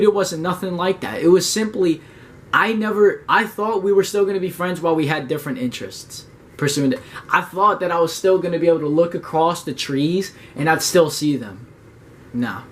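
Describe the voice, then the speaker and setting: A young man giving a loose motivational talk to camera, full of slang, swearing and "right?" checks, slowing down and pressing harder on his key points. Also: sits high. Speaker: motivational YouTuber. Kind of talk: casual motivational monologue.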